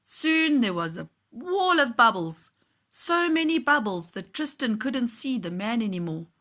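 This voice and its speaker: A woman with a high voice reads a children's storybook aloud like a nursery teacher. Her delivery is deliberate and unhurried, with lively swings in pitch. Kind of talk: expressive children's storybook reading.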